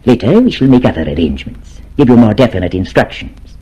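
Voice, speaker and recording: An actor playing a grave, commanding leader of a secret underground, solemn and deliberate, in an old radio drama. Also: male voice, medium pitch, very animated, dark tone, slightly rough, highs cut off.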